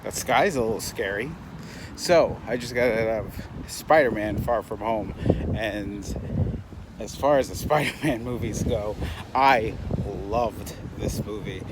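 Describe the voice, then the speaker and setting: A man giving a casual, unscripted movie review as a vlogger, in a halting, rambling way that trails off mid-thought. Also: on the low side. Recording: loud outdoor urban traffic noise.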